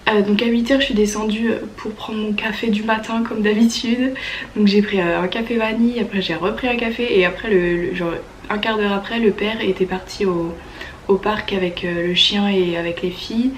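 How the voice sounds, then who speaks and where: A young woman with a French accent tells her stories in a casual, slangy vlog style. Her medium-pitched voice sounds stuffed up with a cold, and her delivery is informal and enthusiastic. Noticeable ambient noise sits behind her. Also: steady tone, normal speed, low-quality recording. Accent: French from France. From France. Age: early 20s. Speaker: au pair vlogger. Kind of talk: casual chatty vlog storytelling.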